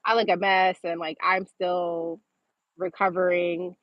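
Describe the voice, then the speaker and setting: A woman's voice: lowish voice, level tone, measured pace, dry recording, dull audio. A woman from Massachusetts hosting a casual livestream, chatting informally as she reads and answers viewer comments. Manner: informal, chatty, often laughing as she talks. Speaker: livestream host.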